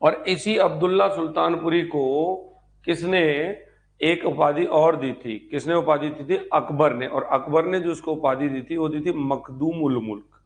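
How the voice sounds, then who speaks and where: A man's voice: a little high, fairly even intonation, a little slow. A man teaching an exam-prep history lecture, explaining step by step, repeating phrases as if dictating and asking check questions.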